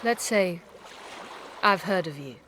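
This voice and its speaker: Haughty sorceress, crisp bright female voice, imperious and formal, fantasy game with faint water noise. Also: on the low side, expressive, slow. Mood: cool, haughty. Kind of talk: video game acting.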